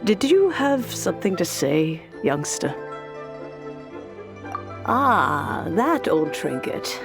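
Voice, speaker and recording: Slow, creaky old granny. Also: female voice, lowish voice, big pitch swings, music in the background, heavily acted. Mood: wistful. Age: older. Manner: unhurried, rambling, grandmotherly. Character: kindly, slow-moving old granny, gently nostalgic. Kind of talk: video game character acting.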